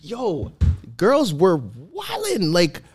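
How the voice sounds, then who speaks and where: A man's voice: very slow, faint music behind. A young man co-hosting a podcast, chatting casually and telling stories in slang. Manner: animated storyteller, slangy, swings pitch widely. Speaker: podcast co-host. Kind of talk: casual chat.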